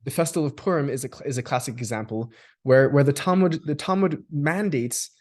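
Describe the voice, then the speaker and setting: A man's voice: medium pitch, fairly quick, darkish tone. Thoughtful man with many restarts. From Australia. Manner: thoughtful, restarts and repeats words while building long sentences. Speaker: guest. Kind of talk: reflective conversational discussion.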